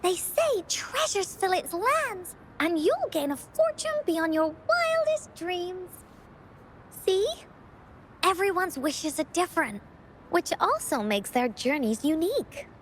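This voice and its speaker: A woman's voice: very high voice, big pitch swings, takes it slowly, a little hiss or hum, heavily acted. A woman playing a cheerful little girl who tells adventure stories theatrically in a video game. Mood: storytelling. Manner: theatrical, cheerful, childlike storytelling. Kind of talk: video game storybook narration.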